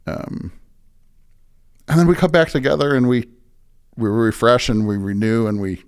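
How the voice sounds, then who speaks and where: A man speaking as a pastor in a conversational interview, thinking aloud in halting phrases and often checking in with the listener. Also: mid-range voice, animated, clean tone. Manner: halting, restarts thoughts, often checks in with "right?". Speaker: pastor, podcast guest. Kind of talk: conversational reflection on faith.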